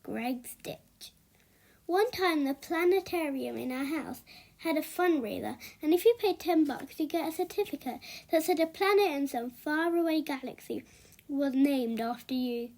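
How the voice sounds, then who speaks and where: A woman reading a children's book aloud as an expressive storyteller. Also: sits high, normal speed.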